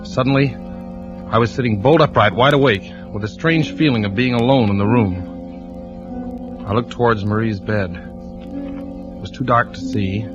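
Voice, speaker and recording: An actor playing a troubled married insurance investigator haltingly telling his story in 1940s radio suspense style. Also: mid-range voice, lightly acted. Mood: tense, haunted. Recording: muffled old radio recording, music underneath.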